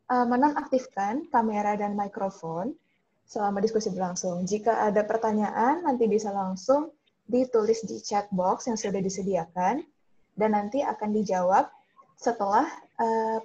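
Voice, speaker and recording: Woman hosting an online panel, lots of ums, soft dark voice, muffled video call sound. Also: medium pitch. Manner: polite, hesitant with many fillers. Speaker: moderator. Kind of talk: online discussion moderating. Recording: dull lo-fi video call audio.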